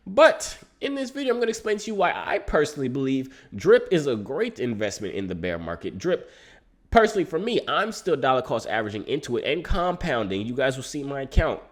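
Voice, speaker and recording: A young man with a congested, stuffy voice hosts his own video, joking with his viewers as he talks. His pitch swings all over the place and makes him sound lively and hyped. The style is loose and casual, like talking to friends. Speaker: crypto YouTuber. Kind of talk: casual vlog monologue.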